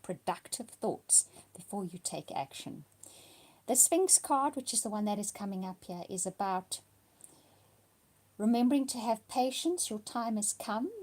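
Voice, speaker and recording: A woman with a cold and a partly lost voice, giving a weekly tarot card reading, soft and slipping into whispers at times. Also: mid-range voice.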